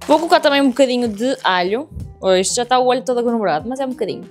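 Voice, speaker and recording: A woman's voice: on the high side, animated, very fast, a little mellow, faint music behind. A young woman with a European Portuguese accent, chatting casually to viewers as she cooks in her home kitchen. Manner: chatty, addresses viewers directly while cooking. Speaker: cooking vlogger. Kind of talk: casual cooking tutorial.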